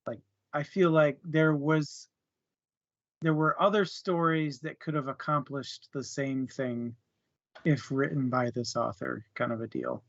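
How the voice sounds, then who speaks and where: A man's voice: mid-range voice, fairly even intonation, a little slow, vocal fry, fairly bright tone, dry recording, muffled recording. A man casually discussing a book as a co-host, hesitant and searching for words, with small chuckles. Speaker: book club podcast co-host.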